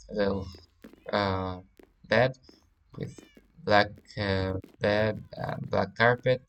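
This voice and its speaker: A man with a Spanish accent, a native Spanish speaker, giving a casual game tour and often pausing to search for words. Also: fairly low pitch, a bit bright, smooth, rough recording. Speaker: let's play creator.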